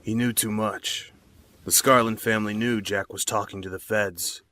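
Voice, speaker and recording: An actor playing a secretive, intense noir crime accomplice in an audio drama. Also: male voice, fairly low pitch, fairly even intonation, normal speed, bright, forceful tone. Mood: grim, terse.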